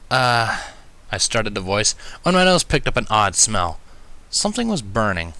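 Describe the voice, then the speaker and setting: Casting: male fan fiction narrator, very lively intonation, bright forceful tone. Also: lowish voice, no echo. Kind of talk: expressive story narration.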